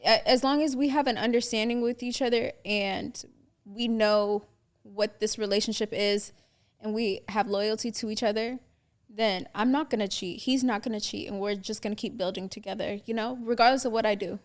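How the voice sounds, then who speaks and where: A woman guest on a casual podcast with a loud voice, talking frankly and matter-of-factly. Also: medium pitch, fairly even intonation, moderate pace.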